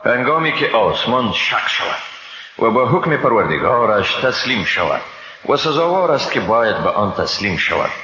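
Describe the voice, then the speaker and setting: A man with an Afghan Dari accent reads a scripture translation in a solemn, measured, formal declamatory style. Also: mid-range voice, sing-song, bright, forceful tone. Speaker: Quran translation reader. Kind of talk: solemn scripted religious reading. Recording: echoey hall reverb, slightly dull sound.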